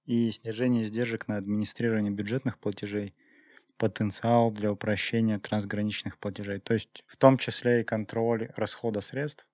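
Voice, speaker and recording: A man talking conversationally on a podcast, explaining and reasoning aloud in a measured way. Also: lowish voice, darkish tone, dry recording. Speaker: podcast co-host, IT professional. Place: podcast recording studio. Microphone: close studio microphone.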